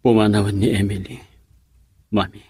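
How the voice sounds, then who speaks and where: A man's voice: lowish voice, fairly even intonation, moderate pace, a little mellow, breathy edge. A man playing an earnest, lovelorn and self-pitying suitor in an Ilocano radio love drama, with plaintive, emotional delivery. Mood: heartbroken.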